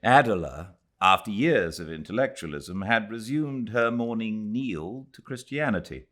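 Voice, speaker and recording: A man from Britain narrates a classic novel from beside his home fireplace, after a relaxed, chatty greeting to his listeners. His voice sits very low. He takes his time over each phrase. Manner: relaxed, chatty intro then measured literary reading. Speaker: audiobook narrator. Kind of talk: live fireside book reading.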